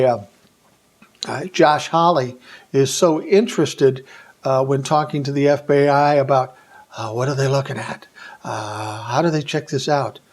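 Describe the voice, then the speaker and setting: A man's voice: a little high, a little mellow. An American man delivering an opinionated political monologue in blunt, colloquial terms, posing rhetorical questions and answering them himself. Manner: blunt, colloquial, emphatic phrasing. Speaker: political commentary vlogger.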